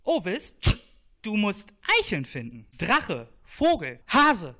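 Woman as a bossy planet, swooping and slow. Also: mid-range voice, huge pitch swings, fairly bright tone, exaggerated voice. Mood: commanding. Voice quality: strange, put-on voice. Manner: commanding, measured, theatrical. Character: bossy talking planet handing out magical tasks. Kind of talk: children's radio play acting.

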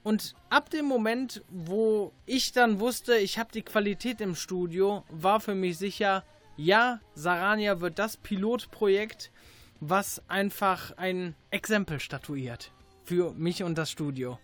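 A man with a high-pitched voice for his sex. He speaks as a guest producer in an interview, casual and conversational in style. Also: takes it slowly, a bit bright, soft background music. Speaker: audio drama producer, studio head, guest.

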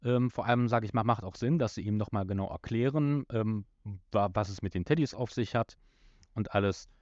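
Male podcast host, low voice, halting conversational delivery, slightly muffled recording. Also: darkish tone, dry recording. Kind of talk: casual discussion of an audio drama episode.

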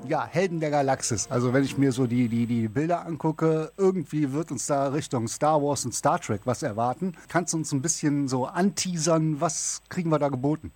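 A man's voice: a little high, moderate pace, few pauses, darkish tone. A man interviewing a guest for a culture magazine, asking informal, curious questions and thinking aloud.

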